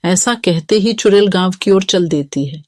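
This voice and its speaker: A woman's voice: lowish voice, normal speed, no echo, clean studio sound. A woman narrating a scripted children's story.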